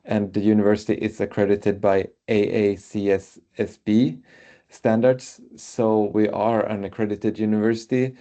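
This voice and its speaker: A man's voice: on the low side, little pitch movement, normal speed, dark tone. A man with a Swedish accent, a native Swedish speaker, presenting his university in a webinar with many hesitant filler sounds. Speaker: university representative. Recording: muffled webinar audio.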